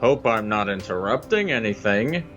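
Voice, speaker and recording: Male actor as time agent, officious, smooth manner, lively intonation, faint music, audio drama. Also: lowish voice, moderate pace. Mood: smug, smooth.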